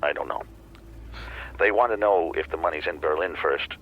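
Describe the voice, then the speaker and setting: An actor playing a guarded private detective in a radio drama, giving terse, cautious answers. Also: male voice, a bass voice, normal speed, dull audio, slight background noise. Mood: cautious, reluctant.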